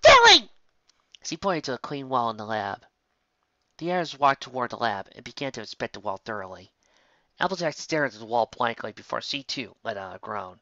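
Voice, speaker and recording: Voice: mid-range voice, expressive, a little fast, a bit bright, no room sound, dull audio. A narrator reading a fan fiction story in a scripted storytelling style.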